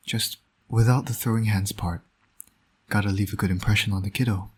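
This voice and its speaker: A low, husky male voice for a roleplay audio drama , a man acting it out. He is a smug villain who flirts and needles with dry sarcasm, yet shows a fatherly soft side. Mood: flirtatious, smug. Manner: smug, teasing, sardonic. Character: teasing, flirtatious male supervillain, doting secret father.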